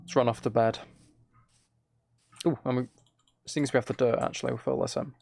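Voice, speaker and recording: A man streaming a game, chatting casually in a relaxed ASMR style, rambling along in a self-deprecating way. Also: mid-range voice, brisk, creaky, a little hiss or hum. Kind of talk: casual livestream chat while gaming, relaxed ASMR.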